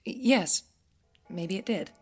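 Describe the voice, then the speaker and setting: An actress playing a polite, attentive guest house keeper in an audio drama, with hesitant, courteous small talk. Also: female voice, medium pitch, animated, slow pace, slightly rough, soft background music. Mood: courteous, curious.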